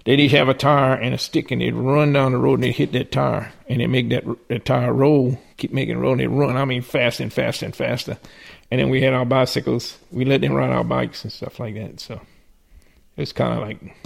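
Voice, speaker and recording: A man's voice: mid-range voice, little pitch movement, normal speed, creaky. An older man with a Cajun accent, telling folksy stories from his life with frequent restarts and chuckles. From southern Louisiana. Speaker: interviewee. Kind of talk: personal storytelling.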